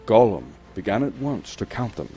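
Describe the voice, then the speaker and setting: A man reads a story as theatrical, suspenseful narration. His voice is low and very mellow, and he sets phrases apart with deliberate pauses. Music plays underneath him. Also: sing-song. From Canada. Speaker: storyteller, actor reading an audiobook chapter. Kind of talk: dramatic scripted narration.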